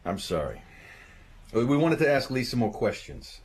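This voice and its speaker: Lively-pitched American cop, played by a man. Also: normal speed, lightly acted. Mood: weary, apologetic. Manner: informal, apologetic. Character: affable, weary American police sergeant, male. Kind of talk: radio drama acting.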